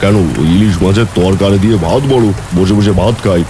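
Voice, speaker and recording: Guy doing a deepish, grumpy village husband in a cartoon, rain all over. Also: steady tone, fairly quick, lightly acted. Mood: grumbling. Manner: sardonic, grumbling. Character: stubborn, grumbling village husband. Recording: rain noise behind.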